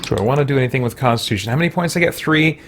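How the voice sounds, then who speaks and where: A man's voice: mid-range voice, animated, racing, very noisy. A man doing casual live gameplay commentary as a streamer, thinking aloud in a rambling, hesitant way. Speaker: game streamer and host. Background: game music.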